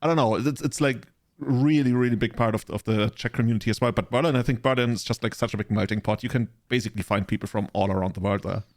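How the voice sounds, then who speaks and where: A man's voice: medium pitch, fast, darkish tone. A man hosting a podcast, chatting casually in a talkative, bantering way. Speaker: podcast host. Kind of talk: casual chat.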